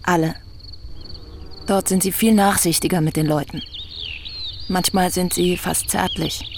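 An actress playing an earnest young woman journalist who gently challenges an older man in a radio play. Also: lowish voice, steady tone, unhurried, a little hiss or hum. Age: young adult.

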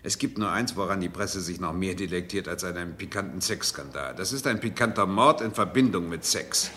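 A man's voice: low-pitched, brisk, a bit bright. A man playing a sardonic, irritable doctor caught in an affair, in a German radio crime drama, stammering when rattled. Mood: agitated, cynical. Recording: roomy vintage radio drama, background hiss.

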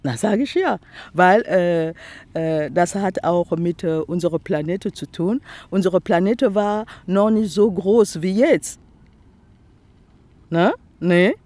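A woman with a Congolese accent talks slowly and haltingly in a dry, close-sounding recording. Her first language is Teke, and she gropes for words in German, leaning on fillers. She is outdoors by a lake, chatting as the interviewee. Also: lowish voice, a little mellow, dry recording. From Republic of the Congo. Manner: halting non-native German, searching for words with many fillers. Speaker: artist, interviewee. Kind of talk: casual interview chat.

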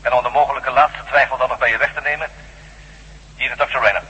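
An actor playing a commanding anonymous kidnapper in a radio drama, curt and controlling, speaking over a telephone. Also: male voice, mid-range voice, fairly even intonation, quick pace, pushed and bright, husky, in a large hall, lo-fi sound.

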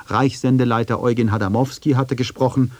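A man narrates a scripted documentary feature. His voice sits at a medium pitch and is recorded very dry, with no room sound. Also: fairly even intonation, fairly quick, a little mellow, no room sound.

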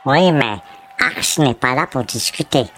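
A curt octopus-like alien fighter voiced by a man talks in a sharp, highish voice that swoops in pitch. He is dry and reproving, with crowd noise behind him in an audio drama. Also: animated, unhurried. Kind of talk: audio drama character acting.

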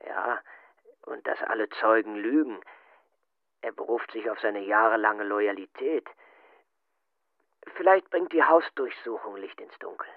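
An actor playing a menacing, coldly polite Gestapo colonel in a radio play, questioning in a clipped, officious manner with diction. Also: male voice, on the low side, measured pace, creaky, pauses often, narrow, tinny audio. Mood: businesslike. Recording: radio play with music underneath.

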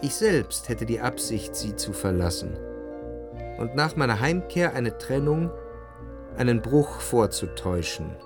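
An introspective, anxious gentleman narrates his memories in a radio play. His medium-pitched voice is measured and reflective, with literary phrasing. Music plays clearly behind him. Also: sing-song. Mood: brooding. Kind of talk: scripted first-person radio play narration.

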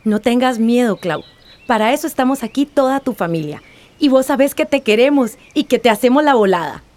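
Radio drama confidante, acted by a woman: caring Central American woman, highish, sympathetic. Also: expressive, fairly quick, a little mellow, lightly acted. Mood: reassuring. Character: caring, reassuring female relative of survivor.

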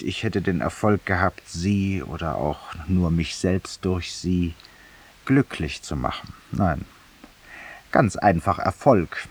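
An actor playing a vain, ironic, confessional man in a 1950s radio drama monologue, urbane and theatrical, addressing a silent companion. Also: low-pitched. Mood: urbane, musing. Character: vain, confessional, ironic male Parisian lawyer in exile. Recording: distant-sounding 1950s radio studio recording.

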